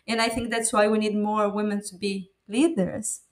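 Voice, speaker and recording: A woman's voice: mid-range voice, level tone, normal speed. A woman whose first language is Portuguese, hosting a podcast and talking in a reflective, earnest way, with many fillers and restarts. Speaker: podcast host. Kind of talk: casual conversational interview.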